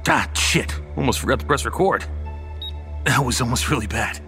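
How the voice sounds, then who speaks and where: A man's voice: mid-range voice, moderate pace, pushed and bright, faint music behind. A young man playing a cocky, goofy college student in an audio horror drama, flippant and casual. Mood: indignant, flustered. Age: young adult. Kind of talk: radio horror drama acting.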